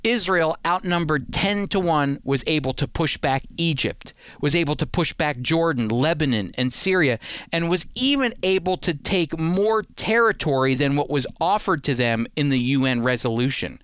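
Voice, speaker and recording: Clear, higher-pitched man hosting an explainer. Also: moderate pace, fairly bright tone, no room sound, clean studio sound. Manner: emphatic, repeats key points for the listener. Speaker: show host. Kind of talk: explanatory history talk to listeners.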